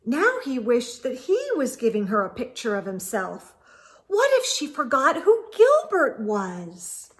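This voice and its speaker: A woman reading a story aloud to children as narrator, in a deliberate, expressive way. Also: on the high side.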